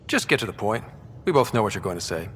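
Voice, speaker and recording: A man's voice: on the low side, sing-song, quick pace, a bit bright, slight background noise. A man playing an earnest, frank space explorer in a video game, speaking directly and conversationally. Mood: firm. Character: earnest, frank young male space explorer leading a crew. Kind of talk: video game dialogue acting. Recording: music under the voice.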